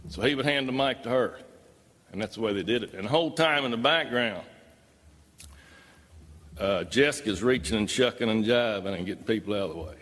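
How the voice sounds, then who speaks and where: A man gives a live talk, recounting an experience in folksy, emphatic storytelling. His voice is mid-pitched and carries the long reverb of a big hall. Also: fairly bright tone.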